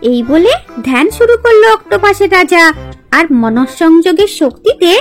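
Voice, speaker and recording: Very high-pitched expressive woman storyteller. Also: moderate pace. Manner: expressive, dramatic. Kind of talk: dramatic scripted children's fairy tale narration. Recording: music under the voice.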